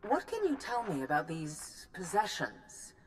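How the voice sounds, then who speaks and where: A woman's voice: fairly low pitch, sing-song, a little slow, faint music behind, rough recording. A woman playing a stern, commanding political leader in a video game, formal and interrogating. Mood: demanding. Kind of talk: video game dialogue acting.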